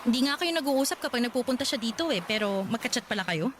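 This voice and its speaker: A woman's voice: fairly high pitch, lively intonation, fast talker, a little hiss or hum, lightly acted. A woman playing an uneasy, suspicious young woman in love in a radio drama, probing hesitantly with a Filipino accent. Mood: curious, suspicious.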